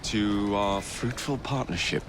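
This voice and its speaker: A man's voice: fairly low pitch, unhurried, background noise, close to an everyday voice. A man voicing a cocky, roguish young Victorian gang leader in a video game, with an English accent and dry, cheeky delivery. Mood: cocky, teasing. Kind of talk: dramatic video game acting. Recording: music behind the voice.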